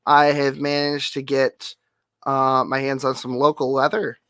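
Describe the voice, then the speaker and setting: A man streamer with a nasal, hoarse voice, rambling through a casual update full of fillers and offhand swearing. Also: medium pitch, monotone, a little slow, fairly bright tone, no room sound, clean studio sound. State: hoarse, losing voice.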